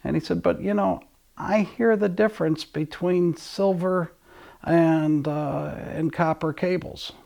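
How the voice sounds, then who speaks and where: A man's voice: fairly high pitch, steady tone, a little slow, creaky, a little mellow. A man hosting an audio channel, talking casually to his listeners in a rambling way, often restarting sentences mid-thought.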